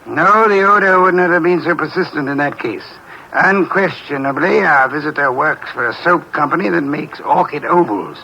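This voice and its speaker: An actor playing a pompous, sharp-witted detective in a 1940s radio drama, speaking in a dry, sardonic, imperious way. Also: male voice, a little high, normal speed, gravelly, dull audio, a little hiss or hum. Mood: lecturing.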